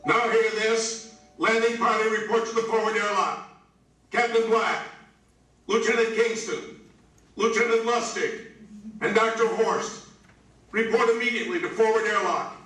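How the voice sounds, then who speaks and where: A man acting as a dutiful spaceship crewman in a radio drama, making crisp military call-outs. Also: high-pitched, steady tone, a little slow, long pauses, pushed and bright. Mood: official, brisk. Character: brisk dutiful male spaceship crewman calling announcements. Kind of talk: old-time science fiction radio acting. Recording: very echoey room, distant mic.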